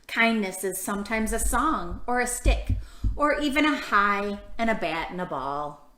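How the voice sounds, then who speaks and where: A woman hosting a children's storytime, reading a picture book aloud and chatting to young listeners with gentle questions. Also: mid-range voice, animated, a little slow. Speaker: children's storytime host and librarian. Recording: echoey room sound.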